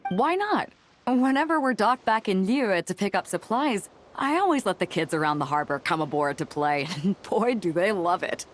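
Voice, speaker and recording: A woman's voice: mid-range voice, animated, moderate pace, a little hiss or hum, natural voice. A woman playing a breezy, confident battleship captain with a teasing, informal manner in a video game. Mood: friendly, casual. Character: breezy, confident young female battleship captain hawking weapons. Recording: music behind the voice.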